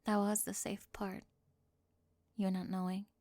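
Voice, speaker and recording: A woman voicing a flustered, defensive teenage cheerleader bully with a secret crush in an ASMR roleplay, halting and nervous, often dropping toward a whisper. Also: medium pitch, little pitch movement, a little mellow, no room sound, lightly acted. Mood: vulnerable, regretful. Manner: halting, self-interrupting, nervous laughs, drops into whispers.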